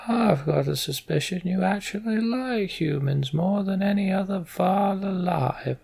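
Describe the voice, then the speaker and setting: A wheezy old giant from a fantasy game, pitched up and full of gravel. He is blunt and wry, and he drags his words out slowly. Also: darkish tone, full character voice. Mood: teasing. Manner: slow, drawn-out delivery.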